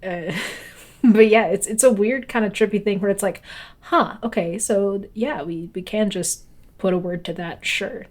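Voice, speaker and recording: A woman co-hosting a podcast in casual conversation, with halting, informal phrasing that trails off. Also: mid-range voice, a little mellow. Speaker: podcast co-host.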